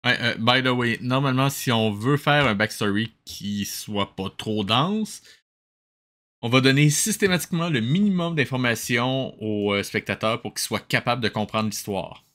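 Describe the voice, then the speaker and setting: A man with a Québécois accent hosts a mocking review. His voice is bright and medium in pitch. He talks casually and sarcastically, slipping English phrases into his slang. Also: normal speed. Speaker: host of a mocking watch-along review. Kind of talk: casual comic commentary.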